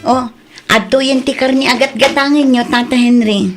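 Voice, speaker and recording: A woman plays a no-nonsense shopkeeper who keeps suitors at a distance. Her voice is rather high, with an Ilocano accent, in radio comedy drama style. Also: fairly even intonation, normal speed, faint music behind, lightly acted. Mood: polite, guarded. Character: strict, guarded single Ilocano shopkeeper woman, quick to rebuff.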